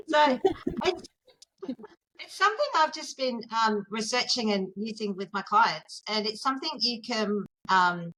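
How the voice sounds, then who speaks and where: An Australian woman, a mindful arts therapist, chatting as an interview guest in an earnest, gently enthusiastic way, often pausing to find her words over a video call. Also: on the high side, slight background noise, low-quality recording. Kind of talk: casual interview chat.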